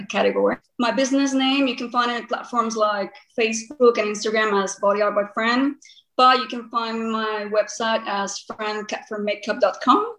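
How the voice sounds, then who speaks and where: A woman in her early forties speaks with a Costa Rican accent, answering interview questions in a conversational way. Her voice sits a little high, and the room adds a clear echo around it. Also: a bit bright. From Costa Rica. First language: Spanish. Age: early 40s. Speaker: podcast guest, body art painter. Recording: roomy echo.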